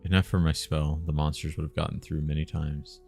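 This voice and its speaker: A man's voice: very deep voice, flat delivery, a little fast, creaky, darkish tone, soft background music. A man voicing a playful, sly woman spellcaster of the wilds, with old-fashioned rustic phrasing, in a story game read-aloud. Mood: candid, wary.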